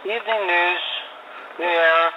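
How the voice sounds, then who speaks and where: A man's voice: sits high, fairly even intonation, normal speed. Terse man on a dull, noisy tape. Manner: terse, one- or two-word answers. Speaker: interviewee. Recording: dull, noisy archival recording.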